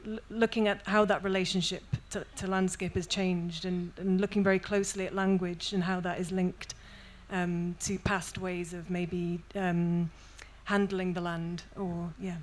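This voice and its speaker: A young Welsh woman poet speaking thoughtfully on a festival panel, with many pauses and restarts. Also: lowish voice, level tone. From Wales. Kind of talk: panel discussion and poetry reading.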